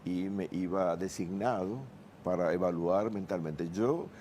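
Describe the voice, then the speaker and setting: A man speaking as a forensic psychiatrist on a TV panel, explaining cases in a measured, factual way. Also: low-pitched, dark tone, slight background noise. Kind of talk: studio panel discussion.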